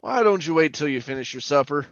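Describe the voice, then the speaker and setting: A man's voice: fairly high pitch, lively intonation, fast, muffled recording, very noisy. An actor playing a folksy, talkative oil-rig worker who tells his eerie tale in colloquial radio horror drama style. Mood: brusque, puzzled. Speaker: actor and narrator. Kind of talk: old-time radio horror drama acting and first-person narration.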